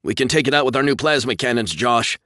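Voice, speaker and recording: A man's voice: mid-range voice, lively intonation, fast talker, bright, forceful tone, no echo, studio quality, pitched above the performer's own voice. A man voicing a nervous male crewman who mutters worried objections.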